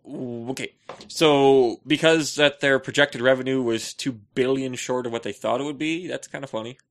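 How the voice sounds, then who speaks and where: A man co-hosting a casual podcast, rambling in a joking, animated, mock-indignant way. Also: medium pitch, moderate pace. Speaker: podcast co-host.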